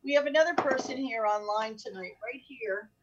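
High, husky-voiced woman chatting casually. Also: moderate pace, lo-fi sound. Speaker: radio show co-host. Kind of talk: casual chat.

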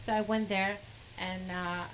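A woman with an Arabic accent who speaks haltingly, with many fillers, and laughs between sentences as she tells a personal story. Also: mid-range voice, level tone, fairly bright tone, slight background noise, rough recording. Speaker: guest sharing a testimony.

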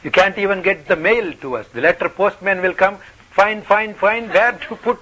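A man giving a spiritual talk in short, playful phrases, pausing for an interpreter. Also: sits high, pushed and bright, rough recording. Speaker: spiritual teacher.

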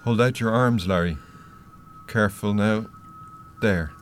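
A man playing a solemn, low-voiced spirit guide. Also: faint music behind, lightly acted. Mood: firm, solemn. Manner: measured, grave, deliberate. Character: solemn, stern male spirit guiding the dead. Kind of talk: radio play acting.

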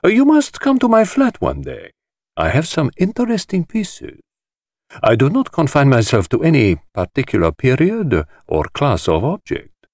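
A man's voice: medium pitch, wildly swooping pitch, normal speed, darkish tone, no echo, studio quality, voice pushed up. A man voicing a flamboyant, spiteful foreign society host in an audiobook, with an affected, mockingly purring drawl. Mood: smug, inviting. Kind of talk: audiobook character voice.

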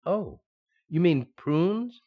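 A man's voice: a little high, expressive, very slow, phone-quality sound, exaggerated voice. Befuddled, swoopy sitcom husband, acted by a man. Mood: drowsy, amused. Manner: hesitant, stammering comic delivery. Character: excitable, befuddled 1940s sitcom husband, affectionate. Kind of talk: 1940s radio sitcom acting.

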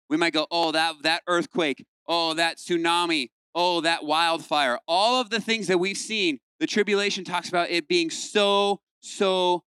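A man's voice: sits high, bright, forceful tone, clear, resonant tone, no room sound. A young man preaching a casual, playful sermon to youth and acting out quoted voices. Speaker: youth pastor.

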